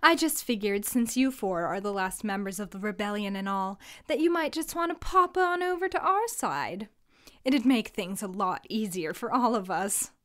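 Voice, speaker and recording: A woman's voice: medium pitch, lively intonation, moderate pace, a bit bright, lightly acted. An actress playing a smug villain's henchwoman in a fantasy audio drama, taunting with fake politeness. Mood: mocking, sweet. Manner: sing-song faux politeness, taunting. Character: mockingly sweet, smug female villain's henchwoman.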